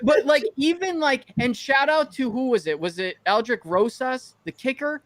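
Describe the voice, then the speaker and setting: A man's voice: extremely high pitch, lively intonation, moderate pace, a bit bright, no room sound. A man co-hosting a podcast with blunt, profane, ranting banter, often acting out imagined quotes. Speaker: podcast co-host. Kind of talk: casual sports banter.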